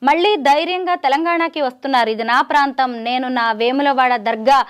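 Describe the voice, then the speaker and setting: Female media interviewer, high-pitched, probing, lively in recapping before each question. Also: moderate pace, clean tone. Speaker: news interviewer.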